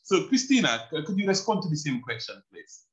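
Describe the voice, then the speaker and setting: A man's voice: fairly high pitch, big pitch swings, a little fast, lo-fi sound. A man moderating an online panel discussion over a video call, hesitant with many fillers.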